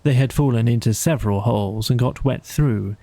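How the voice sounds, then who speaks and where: A man's voice: medium pitch, brisk, vocal fry, dark tone, no echo. A man narrating a bedtime audiobook, reading a scripted story.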